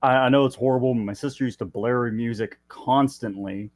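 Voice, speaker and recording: A man's voice: mid-range voice, fairly quick, darkish tone, dry recording. A man chatting casually as a podcast guest, a little hesitant and sheepish. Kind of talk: casual chat.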